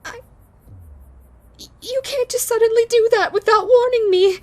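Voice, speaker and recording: A woman voicing a shy, flustered female ghost in a romantic audio roleplay, stammering and halting, tender and full of self-doubt. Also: extremely high pitch, a little mellow, soft background music. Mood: flustered, startled. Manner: stammering, hesitant, halting with repeated words.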